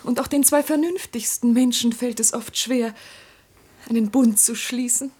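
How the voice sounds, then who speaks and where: A woman playing an elegant, reserved widow in a 1960s German radio play, refined, measured and breathy. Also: a little high, fairly even intonation. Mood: earnest reservation. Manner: refined, measured, gently hesitant. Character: elegant, reserved widowed lady being courted.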